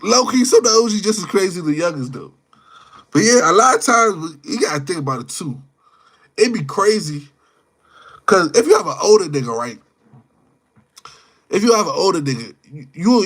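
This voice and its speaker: A man's voice: fairly high pitch, a bit bright. A man in his twenties chatting casually as a livestream host, slangy and rambling, often repeating his words and phrases. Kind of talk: casual live chat, off-the-cuff opinion.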